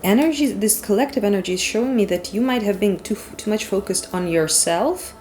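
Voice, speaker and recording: A woman's voice: medium pitch, a little fast, darkish tone, slight background noise. A woman from Ukraine with a Ukrainian accent, doing a casual tarot reading in English as a non-native speaker, rambling and frank with casual swearing. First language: Ukrainian or Russian. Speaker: tarot reader.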